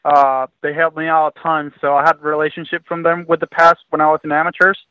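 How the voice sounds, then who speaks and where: A young man from Venezuela, heard over a dull, muffled line with a dry sound and a slightly higher voice. He speaks as a guest in an interview, relaxed and easygoing, with frequent fillers. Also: fast, dry recording. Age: 20s. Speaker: guest, professional motocross racer. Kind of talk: casual interview.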